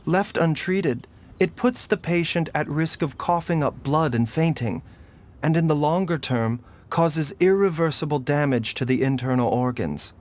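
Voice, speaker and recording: A man voicing a soft-spoken, composed doctor. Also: a little high, moderate pace, a little hiss or hum, close to an everyday voice. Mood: calm. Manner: soft-spoken, precise, formal. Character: calm, learned male physician, gentle and composed. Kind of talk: video game voice acting.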